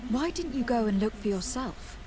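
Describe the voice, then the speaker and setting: A woman's voice: fairly high pitch, expressive, moderate pace, dark tone, slight background noise, natural voice. An actress playing a commanding, skeptical noblewoman in a video game, questioning people in a formal manner. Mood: probing. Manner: formal, interrogating. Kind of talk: video game dialogue acting.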